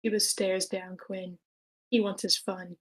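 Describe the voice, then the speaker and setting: A woman's voice: medium pitch, little pitch movement, unhurried. A woman reading stage directions in a matter-of-fact way at a screenplay table read.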